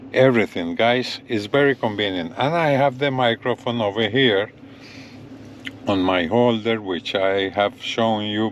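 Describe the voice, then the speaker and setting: A man's voice: fairly low pitch, sharp tone, a little hiss or hum. A man giving a casual hands-on product demo to viewers, repeating himself with enthusiastic phrasing, in and around a parked truck. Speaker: gadget review vlogger.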